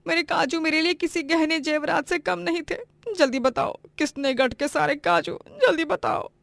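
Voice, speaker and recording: A woman playing a domineering, greedy, theatrical middle-aged mother-in-law in an animated moral story. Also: high voice, normal speed, gravelly, no room sound, studio quality. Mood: dramatic lament, then furious, wailing. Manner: theatrical, scolding, bossy.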